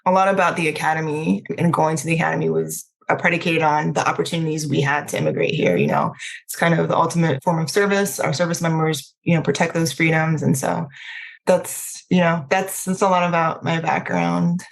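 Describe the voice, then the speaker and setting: Woman, middle-aged, slightly low pitch, casual conversational podcast guest. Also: fairly quick, vocal fry. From Trinidad, then Maryland, United States. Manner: informal, frequent "like" and "you know".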